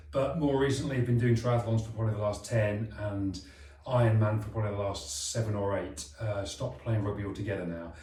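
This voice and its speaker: A man's voice: fairly low pitch, a little mellow. A British man in his forties telling his sporting history in a plain, matter-of-fact way. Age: 40s. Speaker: patient, athlete guest. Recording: roomy, slightly distant.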